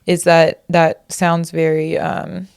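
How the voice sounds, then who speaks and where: Low, gravelly young woman, hesitant. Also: little pitch movement, takes it slowly, darkish tone, dry recording, studio quality. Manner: searching for words, hesitant, many fillers. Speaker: podcast co-host. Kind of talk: casual chat.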